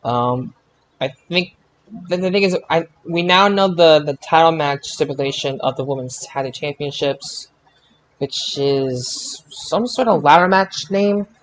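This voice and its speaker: Higher-voiced man hosting a podcast. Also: a little slow, slight background noise, rough recording. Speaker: podcast host. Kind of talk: hosting a casual group wrestling discussion.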